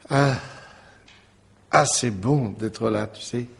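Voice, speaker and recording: An actor playing a tormented, bitter lover in a French radio drama, confessing in a husky, gravelly, brooding voice. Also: male voice, medium pitch, takes it slowly, slight background noise. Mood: weary relief.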